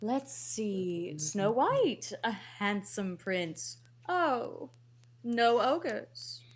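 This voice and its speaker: Haughty fairy godmother villainess, acted by a woman; high, slow, theatrical, mockingly sweet. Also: sing-song, heavily acted. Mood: mocking, exasperated. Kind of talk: comic table read of an animated film script.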